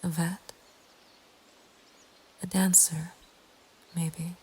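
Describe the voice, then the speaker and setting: A woman narrating a scripted horror story in a breathy ASMR whisper, with a hushed, deliberate delivery. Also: fairly low pitch, flat delivery. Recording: faint ambient background noise. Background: soft nature ambience.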